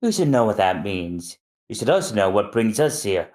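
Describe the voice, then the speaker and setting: A man voicing a calm, cold male secret agent who speaks in clipped, menacing lines. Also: lowish voice, normal speed.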